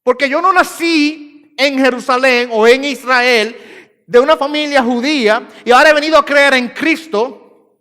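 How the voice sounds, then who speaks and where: A man's voice: very high-pitched, normal speed, sharp tone. A man with a Puerto Rican accent preaching a sermon as pastor, repeating phrases for emphasis and rising and falling in force. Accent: Puerto Rican Spanish. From Puerto Rico. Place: church sanctuary. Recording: church hall reverb.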